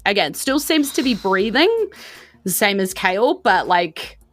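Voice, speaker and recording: A woman with a New Zealand accent narrating as game master, in an informal, descriptive and animated way. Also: medium pitch, unhurried. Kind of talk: improvised tabletop game narration.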